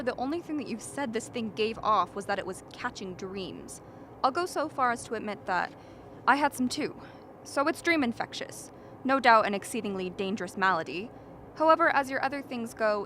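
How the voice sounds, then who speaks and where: A woman's voice: a little high, moderate pace. Woman acting a dry, argumentative lady scientist. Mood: mocking. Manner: lecturing, precise, dryly mocking. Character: rational, argumentative woman scientist, dryly dismissive. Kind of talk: dramatic radio play acting. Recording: faint steady background noise.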